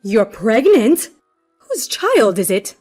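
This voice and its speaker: A woman's voice: a little high, animated, normal speed, faint music behind, natural voice. A woman voicing a spiteful, smug older mother-in-law in a story, with a haughty, accusing, emphatic delivery. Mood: harsh suspicion. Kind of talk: dramatized storytelling.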